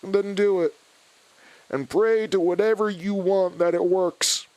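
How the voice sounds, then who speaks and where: A man's voice: high voice, steady tone, measured pace, darkish tone, dry recording, poor audio. An actor playing a blunt, impatient man who gives scornful, curt orders in an audio drama. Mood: scornful, commanding. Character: blunt, impatient, commanding man bullying a pastor.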